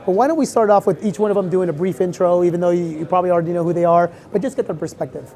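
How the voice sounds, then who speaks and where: A woman moderating a live panel discussion, talking quickly and casually with fillers. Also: lowish voice, dark tone.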